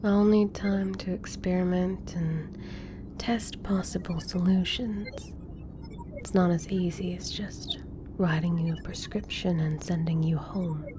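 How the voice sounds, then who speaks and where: A woman playing an intelligent, composed scientist in an ASMR roleplay, speaking in a measured, formal, clinical way. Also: fairly low pitch, steady tone, noisy background, close to an everyday voice. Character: intelligent, composed female government scientist doctor.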